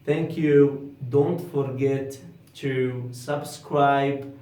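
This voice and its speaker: Echoey slow-talking male English teacher. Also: medium pitch, fairly even intonation, dark tone. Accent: Arabic. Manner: repeats model sentences slowly and clearly for learners. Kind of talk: beginner English lesson, slow repetition. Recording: very echoey room, distant from mic.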